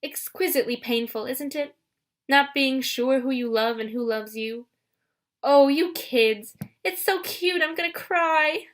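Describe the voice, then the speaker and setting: A woman's voice: sits high, a little slow, voice pushed up. A woman voicing a vain, gushing goddess of love in a storybook reading, swooning and theatrical.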